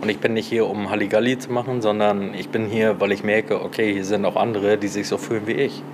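Male social worker, forties, fairly deep, talking informally on location over noticeable noise. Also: little pitch movement, a little fast. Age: 40s. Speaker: social worker and café organiser. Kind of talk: informal interview answers. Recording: clear ambient background noise.

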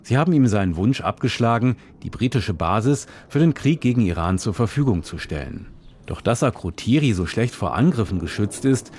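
A man narrating a scripted radio reportage in a measured, descriptive storytelling manner. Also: lowish voice, darkish tone.

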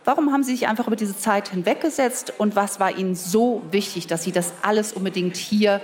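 Woman interviewer, direct questions, calm measured delivery. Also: medium pitch.

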